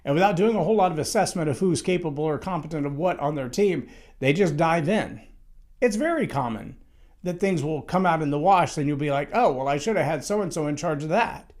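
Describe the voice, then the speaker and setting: A man with a loud, somewhat high-pitched voice holds forth on leadership in a solo talk. He speaks emphatically and conversationally, doubling back on words as he thinks. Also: animated, a little fast. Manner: conversational, emphatic, with frequent restarts.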